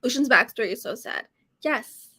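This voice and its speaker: A woman's voice: a little high, animated, moderate pace, pushed and bright, husky. A woman in a casual book discussion, agreeing readily and adding short reactions in a conversational way.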